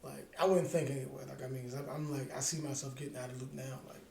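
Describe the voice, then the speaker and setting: A man's voice: medium pitch, fairly even intonation, fast talker, vocal fry, dark tone. A man in his thirties co-hosting a podcast, chatting casually in a laid-back, joking way with frequent swearing. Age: 30s. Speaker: podcast co-host. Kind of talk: casual chat. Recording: echoey room with faint ambient noise.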